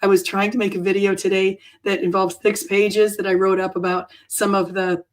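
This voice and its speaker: Woman host, froggy hoarse voice, rambling unscripted livestream chat. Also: mid-range voice, flat delivery, normal speed. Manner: rambling, self-correcting, chatty. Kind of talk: off-the-cuff livestream talk.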